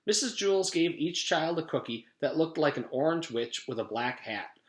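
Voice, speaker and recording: Animated man reading a storybook, echoey room. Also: fairly high pitch, moderate pace. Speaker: teacher reading aloud. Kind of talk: children's storybook narration.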